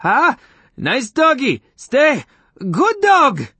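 Irate male neighbor, high-pitched, husky, blustering, spluttering, audiobook character. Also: wildly swooping pitch, extremely slow, no room sound, full character voice. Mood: shrill pleading. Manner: spluttering, shouting, peremptory. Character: irate, blustering neighbor man, panicked and shrill.

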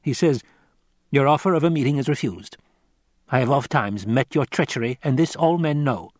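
A man's voice: medium pitch, moderate pace, dry recording, studio quality, voice pushed up, close to an everyday voice. A man voicing a slender, effeminate assassin advisor in an audiobook, sly and mocking, with a sweet, musical tenor and lilting delivery. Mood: mocking recital.

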